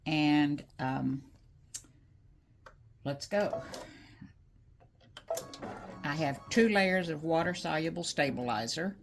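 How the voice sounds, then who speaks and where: A woman's voice: low-pitched, slow, long pauses, over music. A woman hosting a casual embroidery tutorial, chatting homely with fillers as she works at her machine. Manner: chatty, homey, talks while working, many fillers. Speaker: crafting channel host. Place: craft room by an embroidery machine. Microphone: speaker placed away from her, voice faint.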